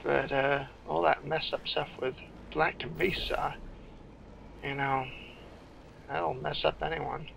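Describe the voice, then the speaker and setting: A man's voice: a little high, flat delivery, slow pace, gravelly, pauses often, a bit bright, a little hiss or hum, rough recording. A man giving casual, off-the-cuff commentary as he plays a video game, musing half to himself. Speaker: gamer giving playthrough commentary. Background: video game sound and music.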